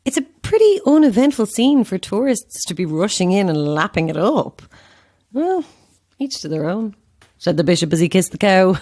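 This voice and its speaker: Casting: wary actress, medium pitch, expressive range, courteous with a dry edge, horror audio drama. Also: female voice, normal speed. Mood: dry, sceptical. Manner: polite, dry, questioning. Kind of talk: naturalistic audio drama acting.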